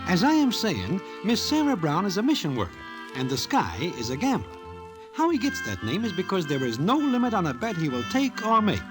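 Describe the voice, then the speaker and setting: An actor playing a wry small-time gambler from Broadway in an old-time radio drama, speaking stilted formal slang. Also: male voice, high-pitched, wildly swooping pitch, a little fast, over music. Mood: wry storytelling.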